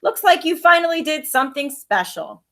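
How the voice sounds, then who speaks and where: A woman voicing a cocky, teasing older brother, a young boy in a storybook, with an excitable delivery. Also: sits high, normal speed, voice pushed up, exaggerated voice. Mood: gleeful. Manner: excitable, boastful.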